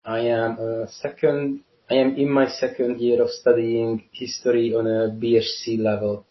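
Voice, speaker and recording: A man of twenty-one from Hungary with a Hungarian accent, giving deliberate, halting explanations as an interview guest and repeating phrases. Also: medium pitch, flat delivery. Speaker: interview guest, university student. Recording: roomy, muffled lo-fi call-like audio.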